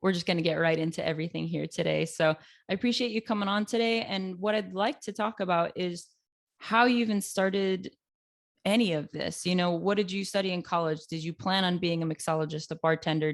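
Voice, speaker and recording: A woman's voice: on the low side, steady tone, a little fast. A woman hosting a casual interview podcast, chatty and upbeat, laughing often. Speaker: podcast host.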